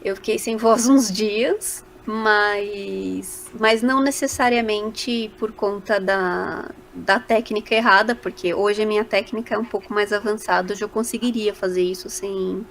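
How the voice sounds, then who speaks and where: A woman's voice: medium pitch, fairly even intonation, unhurried, vocal fry, hardly any pauses, a little hiss or hum. A Brazilian woman speaking casually as a voice actor guest in a podcast interview, animatedly telling stories and acting out little quoted remarks.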